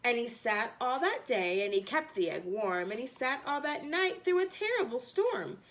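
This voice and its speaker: Female children's storyteller, fairly high-pitched, big melodic range, expressive and theatrical with rhyming text. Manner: expressive, theatrical emphasis with drawn-out phrases. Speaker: storyteller reading a children's book. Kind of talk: animated rhyming storybook read-aloud.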